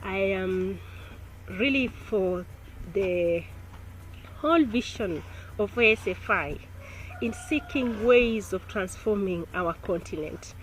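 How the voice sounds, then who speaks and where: A woman giving an earnest, somewhat halting testimonial about a course she took. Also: mid-range voice, a little hiss or hum, poor audio. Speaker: course participant.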